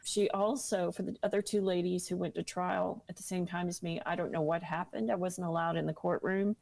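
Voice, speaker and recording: Lower-voiced woman giving earnest personal testimony. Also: gravelly, a little mellow. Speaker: interview guest.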